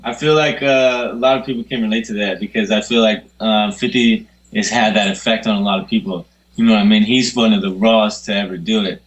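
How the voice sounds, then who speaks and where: Podcast cohost guy, lowish voice, blunt and swears a lot. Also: steady tone, brisk, few pauses, low-quality recording. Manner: blunt, profane, emphatic. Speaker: podcast co-host. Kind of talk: casual chat.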